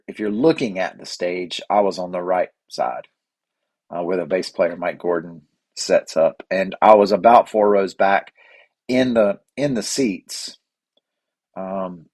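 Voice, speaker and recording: A middle-aged man, slightly hoarse and raspy, hosting a rambling solo podcast with lots of fillers and restarts. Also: low-pitched, a little mellow, dry recording. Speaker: podcast host. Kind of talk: casual solo podcast monologue.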